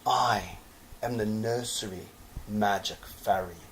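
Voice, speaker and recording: A man voicing a gentle, loving female fairy in a storybook, speaking tenderly and reassuringly. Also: lowish voice, slow, slight background noise. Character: gentle, loving female fairy who cares for old toys. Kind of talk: storybook read-aloud.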